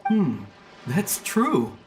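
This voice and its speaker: A man's voice: fairly high pitch, huge pitch swings, drawn-out words, over music, exaggerated voice. An actor playing a frightened, earnest young male guard in a video game, speaking in an anxious, urgent way. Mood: subdued.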